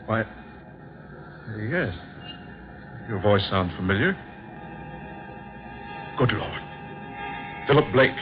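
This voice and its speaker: An actor playing a nervous, easily startled gentleman in a theatrical 1940s radio drama style. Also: fairly low pitch, animated, extremely slow, long pauses. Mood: uneasy. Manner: theatrical, jittery, exclamatory. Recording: dull old radio recording, loud music behind.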